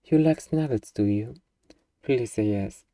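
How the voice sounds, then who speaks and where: A man's voice: mid-range voice, measured pace, warm tone, no echo, recorded from a distance, lightly acted. A man playing an eager, bargaining demon who craves a cuddly pet, in a playfully earnest, wheedling ASMR roleplay monologue. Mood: coaxing, pleading.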